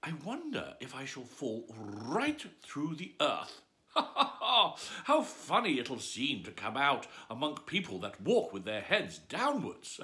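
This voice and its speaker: A man's voice: mid-range voice, huge pitch swings, measured pace, bright, forceful tone, full character voice. A man voicing a curious, chatty young girl who muses aloud to herself in a storybook reading. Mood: wondering. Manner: wondering, musing aloud.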